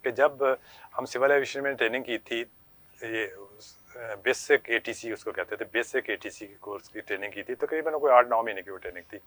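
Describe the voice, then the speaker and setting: A man's voice: mid-range voice, fairly quick, a bit bright, dry recording. A middle-aged Pakistani man giving explanatory interview answers as a guest expert, polite and deferential, often repeating his phrases. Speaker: guest expert, air traffic controller.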